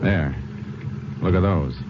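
An actor playing a calm, clipped Texas Ranger in a 1950s radio drama. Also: male voice, low voice, takes it slowly, noisy background. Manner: calm, clipped, matter-of-fact. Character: steady, terse male Texas Ranger. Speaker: Texas Ranger, lead and narrator. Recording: muffled old radio broadcast with music.

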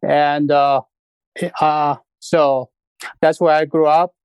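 Casting middle-aged man from Nepal, halting and unhurried. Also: a little high, flat delivery, clean tone, no room sound, low-quality recording. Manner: unhurried, halting, with many fillers. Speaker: guest, restaurant founder. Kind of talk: casual interview storytelling.

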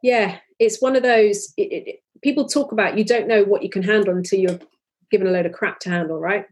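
Husky woman, 40s, candid podcast guest, earnest and animated. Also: medium pitch, a little fast. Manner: earnest, animated, many restarts. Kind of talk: candid conversational interview.

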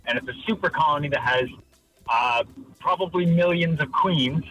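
Bright-voiced enthusiastic man. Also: fairly high pitch, normal speed, dry recording, faint music behind, poor audio. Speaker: listener leaving a voicemail. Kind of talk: casual voice message.

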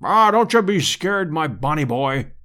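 A man voicing a bold, breezy gentleman adventurer in an audiobook, speaking in clipped upper-class slang. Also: high voice, big pitch swings, normal speed. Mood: soothing, teasing. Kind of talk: dramatised audiobook character reading.

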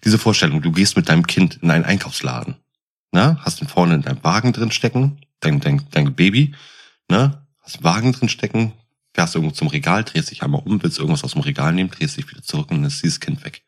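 Male, very low and rough-edged. Animated, casual anecdote teller. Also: quick pace.

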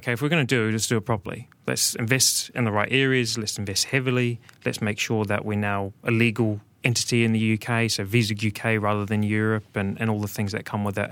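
A man's voice: fairly low pitch, fast talker, no echo. A man in his thirties with a New Zealand accent, a guest in a studio interview, talking easily with lots of ums. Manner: relaxed, many ums. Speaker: podcast guest. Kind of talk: casual interview.

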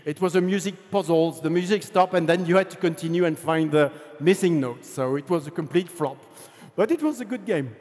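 A man with a French accent tells his story from a stage in a loose, chatty way, dotted with fillers. His voice sits high. The sound rings out with a long reverb from a large hall. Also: fairly quick, darkish tone. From France. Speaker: game developer giving a talk. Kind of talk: conference presentation.

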